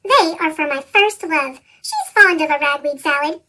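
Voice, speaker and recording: A woman voicing a cheerful, smitten male goat in a storybook, with a chipmunk pitch effect. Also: normal speed, bright, forceful tone.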